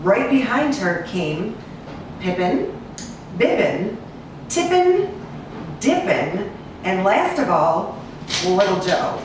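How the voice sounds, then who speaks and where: An American woman reading a children's storybook aloud in an expressive, sing-song manner. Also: medium pitch. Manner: expressive, sing-song listing with drawn-out repeats. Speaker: animal cruelty investigator and rescue agent reading to children. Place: echoey indoor room, away from the mic. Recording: distant, very echoey room with hiss.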